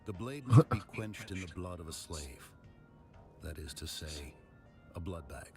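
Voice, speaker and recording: Game role: stern male swordmaster mentor, deep register, formal and measured, clear music bed. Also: expressive. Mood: stern, instructive. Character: stern, measured swordmaster teaching weapon forging. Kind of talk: video game dialogue acting. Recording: music playing behind the voice.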